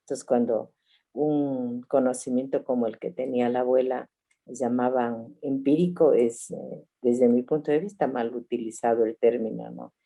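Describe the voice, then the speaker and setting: A woman in her sixties, a family doctor from Ecuador, telling her story reflectively with soft laughs over a video call. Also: rumbling low, steady tone, moderate pace, vocal fry. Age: 60s.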